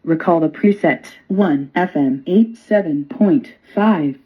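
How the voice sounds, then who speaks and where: A woman's voice: on the low side, slow pace. Dull lo-fi synthetic female menu voice. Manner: clipped, flat, formulaic. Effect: played through a radio's speaker. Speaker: talking radio voice prompt. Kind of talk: automated menu announcements. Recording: dull lo-fi small device speaker.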